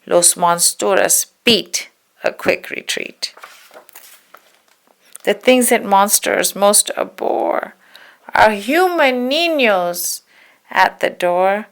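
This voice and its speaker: A woman reading a spooky children's poem aloud in a dramatic, hushed storytelling manner, stretching words and dropping into whispers. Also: mid-range voice, animated, very slow, long pauses. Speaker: storybook reader.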